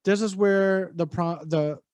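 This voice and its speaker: A man's voice: sits high, steady tone, slow, darkish tone, clean tone, no echo. A man cohosting a casual movie review podcast, talking bluntly with plenty of swearing and restarts. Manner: blunt, profane, rambling with restarts.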